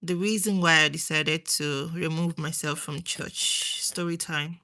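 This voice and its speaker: A woman's voice: deep voice, steady tone, a little slow, bright, forceful tone. A young woman with a Nigerian accent chatting casually to viewers on a livestream, rambling and trailing off between thoughts. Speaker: livestream host.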